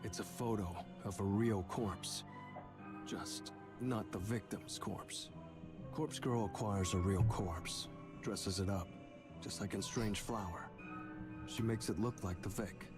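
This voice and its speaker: An unscrupulous, morbid morgue worker for a visual novel. The voice is on the low side, terse and deadpan. Music is clearly audible behind it. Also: male voice, slow pace. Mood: musing. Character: morbid, unscrupulous male morgue worker, laid-back admirer of killer.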